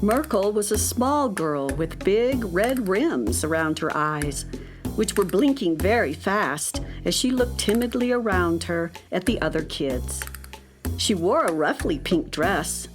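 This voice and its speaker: A woman's voice: on the low side, animated, measured pace, music in the background. A woman narrating a scripted children's story as the narrator of a radio drama.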